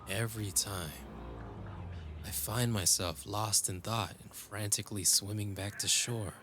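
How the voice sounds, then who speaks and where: Low-voiced, world-weary cyberpunk dreamer acted by a man. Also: fairly even intonation, unhurried, over music, natural voice. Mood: tender, intimate. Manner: intimate, reflective, switching into Tagalog phrases. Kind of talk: scripted romantic audio roleplay.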